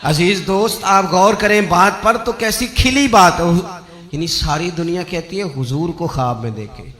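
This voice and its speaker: High-pitched, echoing Punjabi-accented male preacher. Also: normal speed. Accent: Pakistani Punjabi. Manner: impassioned, rhetorical repetition, swinging from hushed asides to loud cries. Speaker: Islamic preacher. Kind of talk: devotional sermon with storytelling and recited verse. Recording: echoing amplified voice.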